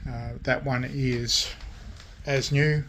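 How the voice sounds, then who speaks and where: A man talks through his hobby work in a casual, informal way. His delivery is slow and halting, broken into short phrases with frequent pauses, at a middling pitch. There is faint noise in the recording. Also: fairly even intonation, a bit bright. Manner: halting, pausing often between short phrases. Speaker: radio restoration hobbyist and vlogger. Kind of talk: casual show-and-tell of restored radios.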